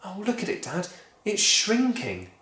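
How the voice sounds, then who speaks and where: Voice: mid-range voice, wildly swooping pitch, measured pace, pitched above the performer's own voice. A reader voicing an earnest young boy, pleading and worried, in an echoey room.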